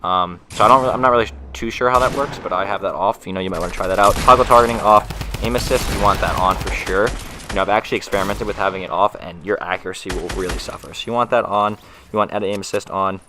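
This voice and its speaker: Stuffy-nosed young man, fast chatty game talk. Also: low-pitched, noisy background. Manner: loose and chatty, many fillers and restarts. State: stuffy, getting over a cold. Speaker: gaming YouTuber. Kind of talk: casual tutorial voice-over.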